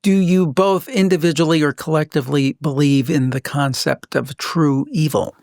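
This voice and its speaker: A man's voice: fairly high pitch, steady tone, moderate pace, darkish tone, no room sound, clean studio sound. A man hosting a podcast, interviewing his guests in a casual, conversational style.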